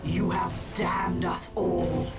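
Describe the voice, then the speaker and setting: A woman's voice: on the low side, fairly even intonation, slow. A woman playing a proud, desperate alien warrior in a game cutscene, crying out imperiously. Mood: desperate fury. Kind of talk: video game cutscene acting. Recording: distant voice under loud music.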